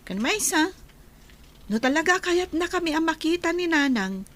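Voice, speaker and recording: A woman playing a caring, dutiful young adult daughter in an Ilocano radio drama, speaking in a solicitous, gently insistent way. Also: very high-pitched, very animated, moderate pace, exaggerated voice. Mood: firm. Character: caring, dutiful grown daughter of village father.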